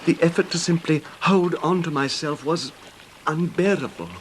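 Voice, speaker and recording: An actor playing an older wealthy English husband, haltingly recounting his betrayal in an anguished, formal manner in a radio drama. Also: on the high side, natural voice. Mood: heartsick, haunted. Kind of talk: 1970s radio drama acting, narrating a confession. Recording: noisy 1970s radio drama recording.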